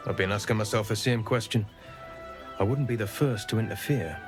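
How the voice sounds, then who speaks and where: A man's voice: on the low side, a little fast, close to an everyday voice. A man voicing a friendly, chatty townsman with folksy, affable talk. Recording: game dialogue with music.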